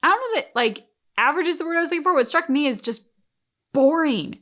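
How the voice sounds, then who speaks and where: A woman chats as co-host of a reaction show. Her voice sits a little high, and she comments casually and with firm opinions. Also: expressive, fast, a bit bright.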